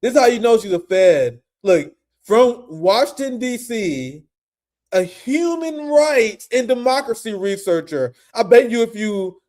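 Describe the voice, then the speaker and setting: A young man hosting a casual political commentary stream, animated and profane, laughing often. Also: high-pitched, measured pace.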